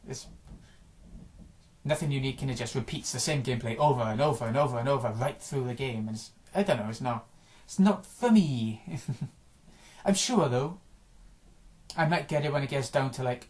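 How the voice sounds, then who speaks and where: A man chatting casually about video games, rambling and offhand, his voice a little hoarse after a day of recording. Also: mid-range voice, brisk, a little mellow. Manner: rambling, offhand, frequent restarts and small chuckles. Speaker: gaming vlogger.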